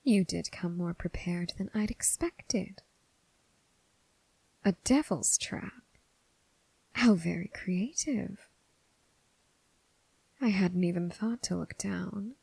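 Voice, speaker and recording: A woman playing a seductive, menacing crossroads demon who toys with her prey, in a breathy, whispered ASMR roleplay with slow, teasing, formal phrasing. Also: fairly low pitch, warm tone, dry recording, full character voice. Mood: intrigued.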